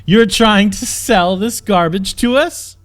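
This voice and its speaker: Bandit captain, fantasy audiobook: cruel, hot-tempered bully, raised pitch, sneering, stretches words for menace. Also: male voice, faint music behind. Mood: mocking, bossy. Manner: sneering, shouting, exasperated, drawn-out emphasis.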